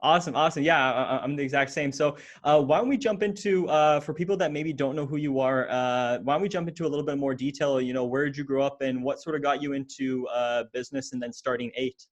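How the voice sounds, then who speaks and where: A young man hosts a business podcast in a casual, eager voice at an ordinary mid pitch. He stumbles often, repeating words and filling gaps with "like" and "uh" as he talks into a podcast mic. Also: fast, few pauses, dull audio. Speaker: podcast host. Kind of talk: casual interview hosting. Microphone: podcast microphone in frame.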